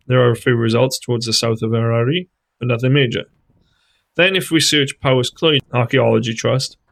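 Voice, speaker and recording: A man, hosting his own history channel and reading a scripted voice-over in a measured, explanatory way. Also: medium pitch, gravelly, fairly bright tone, poor audio. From Wales. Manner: measured, explanatory, tentative about theories.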